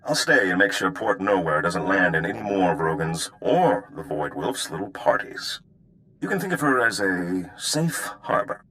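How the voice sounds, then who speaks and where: An actor playing a sly, easygoing underworld operative giving briefings in a video game. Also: male voice, low voice, animated, normal speed, fairly bright tone. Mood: sly, encouraging.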